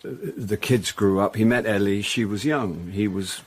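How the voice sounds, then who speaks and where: An actor playing a hapless middle-aged British man in a radio drama, wry and self-deprecating, with a halting, flustered manner. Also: on the low side, lightly acted. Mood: nervous, halting. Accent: British English. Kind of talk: naturalistic radio drama acting with confiding narration.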